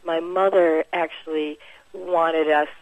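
A musician guest in a radio interview, speaking in a warm, reflective way and laughing easily. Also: mid-range voice, little pitch movement, slow pace, no room sound, phone-quality sound. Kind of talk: conversational interview.

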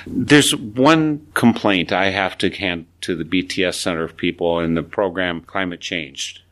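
A man in his seventies hosting a radio program, asking questions and reflecting in a slow, deliberate way. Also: deep voice, fairly bright tone. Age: 70s. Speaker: radio show host.

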